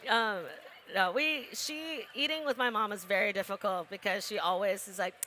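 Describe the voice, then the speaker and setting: A young adult woman, restaurant co-owner, chatting quickly with laughs and fillers. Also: medium pitch, bright, forceful tone, a little hiss or hum. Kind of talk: casual interview chat.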